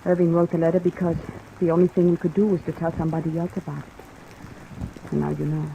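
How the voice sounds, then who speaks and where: A frightened, guarded woman in old radio drama style. Her voice is low for a woman's and anxious. The sound is thin and tinny, with loud rain noise over it. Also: flat delivery, fairly quick, creaky. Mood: fearful confession. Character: frightened, trapped young woman confiding secrets. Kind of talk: 1950s radio drama acting.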